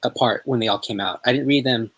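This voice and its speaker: Female co-host, extremely low voice for a woman, casual book chat, hesitant phrasing. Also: fast talker, breathy edge, no room sound, low-quality recording. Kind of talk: casual chat about books.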